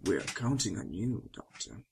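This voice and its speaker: An actor playing a stern, controlling senior reviewer with a raspy, breathy voice in a horror audio drama. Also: male voice, on the low side, expressive, a little slow, darkish tone, a little hiss or hum. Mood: stern, commanding.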